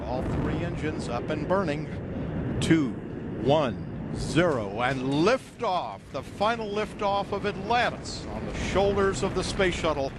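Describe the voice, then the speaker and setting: A man's voice: a little high, animated, measured pace. A man making a live launch countdown announcement in a clipped, formal style. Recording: noisy launch broadcast audio with hiss.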